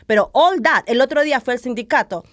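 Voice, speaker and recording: A woman's voice: high-pitched, animated, racing, no echo. Passionate woman guest, dry sound. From Argentina. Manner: passionate, switches into Spanish. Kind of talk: podcast conversation.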